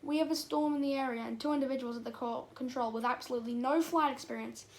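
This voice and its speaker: Woman as a high-voiced bee news anchor. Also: quick pace. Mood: grave, announcing. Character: earnest male bee television news anchor. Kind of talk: news bulletin reading.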